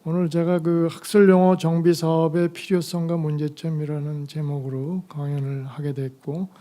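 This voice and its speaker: Hoarse older man with a mellow voice. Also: fairly high pitch, little pitch movement. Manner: halting, slow delivery with many fillers. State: hoarse from a cold. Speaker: retired medical professor giving a conference lecture. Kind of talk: academic lecture.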